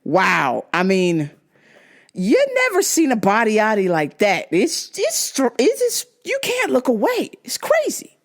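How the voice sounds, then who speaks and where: A woman hosting a casual podcast, giving fight picks in a crude, joking, animated way. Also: on the high side, a little slow. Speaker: podcast host.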